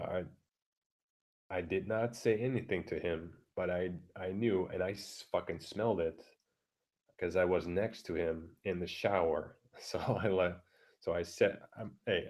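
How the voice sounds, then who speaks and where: A man with a deep voice ranting crudely and bluntly, keeping his voice down at home, halting with many fillers and restarts. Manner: keeps his voice down, talking quietly; crude, blunt, heavy swearing. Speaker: vlogger. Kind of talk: casual profane rant. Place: home room with neighbors through the walls.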